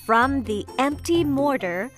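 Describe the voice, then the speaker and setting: A woman narrating a children's fairytale from a script, in clearly phrased storytelling style. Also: high voice, animated, takes it slowly, music in the background.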